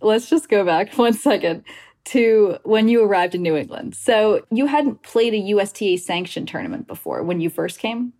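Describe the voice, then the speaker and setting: A woman's voice: mid-range voice, animated, normal speed, a little mellow. A woman hosting a podcast, reading a scripted intro and then chatting casually with her guest. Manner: chatty, retells hearsay with quoted asides. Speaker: podcast host.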